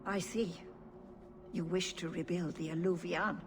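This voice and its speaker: An actress playing a calm, stern yet caring older elven clan leader in a fantasy game, speaking formally. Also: female voice, lowish voice, noisy background, natural voice. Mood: solemn, disapproving. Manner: measured, formal. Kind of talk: fantasy video game voice acting.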